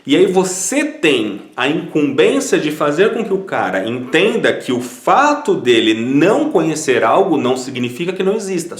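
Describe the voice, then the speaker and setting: Man, Brazilian Portuguese accent, chatty advice vlog, lively pitch, echoey room. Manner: animated, conversational, repeats words as he restarts. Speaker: Italian citizenship consultant. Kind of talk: casual advice vlog talking to viewers.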